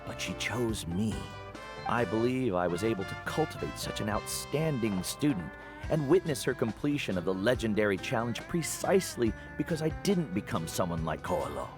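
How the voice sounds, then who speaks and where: Casting: seasoned game mentor, low expressive voice, principled and earnest, over loud music. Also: male voice. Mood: quiet pride. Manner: measured, earnest, explanatory. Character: measured older male guide and mentor, wise, principled. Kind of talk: scripted video game voice acting.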